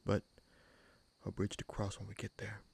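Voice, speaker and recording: A man playing a gruff but caring human bandit leader in a fantasy audio roleplay, wry and protective, with informal, halting phrasing. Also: completely flat delivery, a little mellow, heavily acted. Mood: wry, determined. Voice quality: gravelly, husky low voice.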